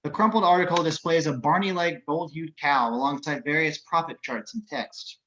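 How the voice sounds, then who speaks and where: A man's voice: a little high, moderate pace, bright, forceful tone, dull audio. A man narrating screenplay action descriptions as scripted narration. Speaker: narrator of stage directions.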